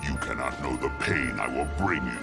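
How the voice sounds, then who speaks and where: Super deep gravelly cosmic game being, solemn and ominous, big music behind. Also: male voice, moderate pace. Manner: solemn, portentous. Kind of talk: video game dialogue.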